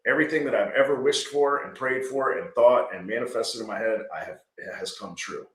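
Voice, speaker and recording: A man's voice: medium pitch, a little fast, echoey room, rough recording. A man, a business owner guest talking casually and earnestly, often checking in with "right?". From Georgia. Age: 40s. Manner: earnest, rambling, frequent "right?". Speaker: landscaping company owner, guest. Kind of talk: casual podcast conversation.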